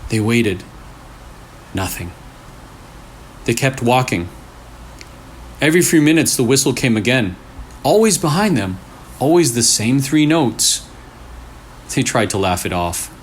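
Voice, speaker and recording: A man's voice: medium pitch, very animated. Man reading scary stories, noisy recording. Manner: deliberate, short phrases with pauses for suspense. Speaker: storyteller, channel host. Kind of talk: scary story read aloud. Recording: clearly noisy room recording.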